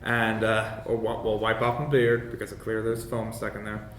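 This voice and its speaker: A man's voice: monotone, a bit bright. Hesitant male tabletop gamer, roomy recording. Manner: hesitant, halting, polite. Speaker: player in a tabletop role-playing game. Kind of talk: casual in-character and descriptive game play. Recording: echoey room with faint rumbling noise.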